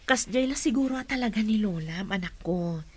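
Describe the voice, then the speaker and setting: A woman playing a gentle, apologetic middle-aged mother in a radio drama, speaking hesitantly. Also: mid-range voice, expressive, normal speed, breathy edge. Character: soft, apologetic mother of a teenage girl. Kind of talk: Filipino radio drama acting.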